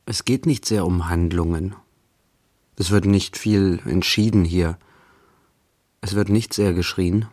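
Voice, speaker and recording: A man playing a wry, measured storyteller, narrating a radio play in rhythmic, deliberate phrases. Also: sits low, steady tone. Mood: measured, reflective. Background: quiet guitar music.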